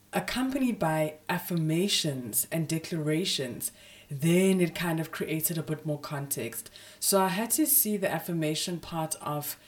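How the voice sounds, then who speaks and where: A woman hosting her own podcast, talking casually with a slightly raspy voice from a sore throat, filling her speech with "you know" and "um". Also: deep voice. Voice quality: husky, raspy. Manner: chatty, frequent "you know" fillers. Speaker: podcast host. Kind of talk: casual solo podcast talk.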